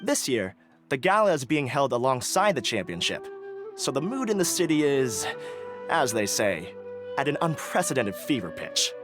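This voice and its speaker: A man's voice: mid-range voice, very animated, normal speed, lightly acted. A man voicing a polite young male festival organizer in a video game, courteous and formal. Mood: explanatory. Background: soft game music.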